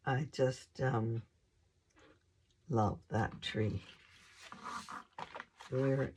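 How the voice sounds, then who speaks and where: A hoarse woman hosting a craft channel, casually showing her scrapbook pages in an unhurried, hesitant way with lots of ums. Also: extremely low pitch, darkish tone.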